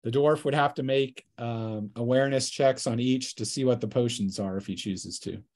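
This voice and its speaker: A man working as game master, narrating a tabletop role-playing session in a casual, improvised, halting way. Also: medium pitch, a little mellow.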